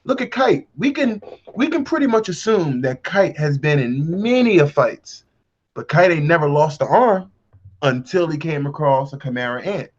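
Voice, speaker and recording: A man on an online call explains his point step by step, sounding like he is reasoning it through for the others. His voice is fairly high for a man and reaches the listener through a dull, muffled line. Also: sing-song, normal speed. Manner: explanatory, lays out arguments step by step. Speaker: guest. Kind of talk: casual group discussion.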